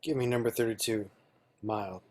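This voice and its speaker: A man in a table read playing a stern, awkward female vigilante assassin, husky and terse. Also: lowish voice, fairly even intonation, normal speed, close to an everyday voice. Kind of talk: film script table read.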